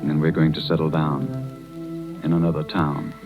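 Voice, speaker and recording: A man's voice: very deep voice, level tone, moderate pace. An actor playing an earnest, lovesick man in a 1930s radio drama. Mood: tender. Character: earnest, lovesick man pleading for his beloved. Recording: muffled 1930s radio recording, loud music.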